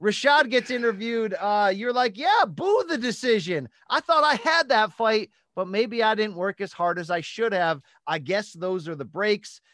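A man with a high-pitched voice hosts sports talk from a small studio. His delivery is emphatic and animated, and the close microphone gives a very dry sound with little room in it. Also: a little slow, few pauses, dry recording. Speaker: podcast host. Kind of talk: sports talk recap and interview. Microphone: close studio microphone on boom arm.

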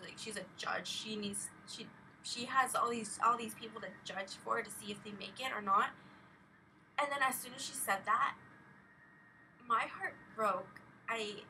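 Bright, high-voiced young woman. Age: young adult. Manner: halting, filler-heavy with frequent "like". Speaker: vlogger. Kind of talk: casual rambling personal vlog, recounting an experience. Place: small bedroom at home, indoors. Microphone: webcam or laptop at arm's length. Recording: distant webcam mic, faint noise.

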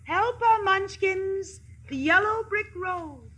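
A woman's voice: very high-pitched, animated, slow, sharp tone, slight background noise, heavily acted. An actress playing a kindly, self-assured good witch, grand and soothing, in a 1950s radio play. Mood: reassuring. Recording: old radio broadcast with music behind.

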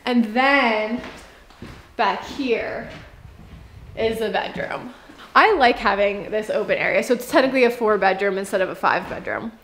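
A woman's voice: mid-range voice, a little slow, fairly bright tone, reverberant, a little hiss or hum. A woman in her thirties giving a casual vlog walkthrough of unfinished rooms, speaking to a handheld camera. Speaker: host, house renovation vlogger. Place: indoors, empty unfinished rooms. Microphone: handheld camera at arm's length.

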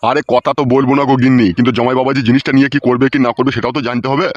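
Man as a muffled, fast-talking cartoon father. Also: medium pitch, little pitch movement, dry recording, lightly acted. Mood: protesting. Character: questioning middle-aged village husband and father. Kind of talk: comedy cartoon dialogue. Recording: muffled radio-like sound.

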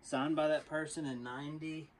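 Man, 30s, slightly raised pitch, casual reseller vlog patter, faint outdoor noise. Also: steady tone, normal speed. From Texas. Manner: chatty, talks to viewers as y'all. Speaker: storage unit reseller vlogger. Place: outdoor storage unit, then inside a truck.

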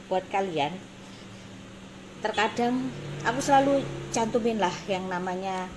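A woman in her thirties with an East Javanese accent, talking casually to camera in an emphatic, blunt, repetitive explaining way. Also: medium pitch. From Indonesia. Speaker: beauty salon owner and course teacher. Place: small indoor salon office. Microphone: phone at arm's length. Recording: noisy phone recording with room ambience.

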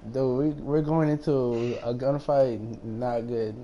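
A man's voice: mid-range voice, slow, warm tone, lo-fi sound. A young man streaming a team video game, chatting casually in a slangy, profane, bossy way. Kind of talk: casual team gaming chat. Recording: noisy game voice chat.

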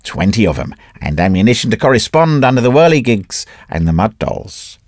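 A man's voice: on the low side, sing-song, a little fast, a little mellow, dry recording, studio quality. A man voicing a cheerful, boastful male adventurer who speaks placidly. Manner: placid, pleased with himself.

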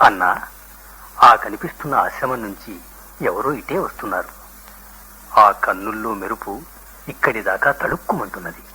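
An actor playing a loyal, respectful younger brother, an epic warrior prince, in a formal declamatory radio drama style. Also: male voice, lowish voice, moderate pace, pauses often, pushed and bright, breathy edge, heavily acted. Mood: observant. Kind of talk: classical Indian radio drama acting. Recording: noisy old radio broadcast recording.

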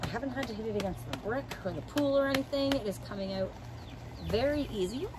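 A woman's voice: a little high, expressive, quick pace, very noisy. A woman in her thirties talking casually through a how-to vlog, informal and thinking aloud as she goes. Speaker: vlogger.

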